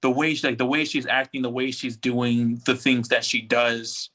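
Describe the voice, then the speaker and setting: A man's voice: medium pitch, little pitch movement, moderate pace, a bit bright. A man speaking as a podcast panelist in a casual, conversational discussion of pop culture.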